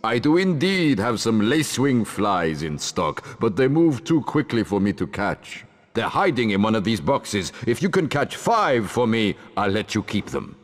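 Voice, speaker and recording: Low, animated pet shopkeeper. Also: male voice, moderate pace. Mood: wry. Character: dry, wry pet shop keeper. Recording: hall reverb, music behind.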